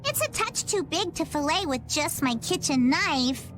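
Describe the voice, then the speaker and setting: Plucky little girl pirate, extremely high pitch, quaint seafaring manner, game character, music underneath. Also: expressive, unhurried, a bit bright. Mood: musing. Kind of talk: video game voice acting.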